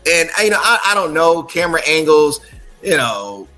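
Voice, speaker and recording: Bright-voiced male sports podcast host. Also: a little high, very animated, moderate pace, soft background music, rough recording. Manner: chatty, frequent "right?" tags. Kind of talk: casual sports talk.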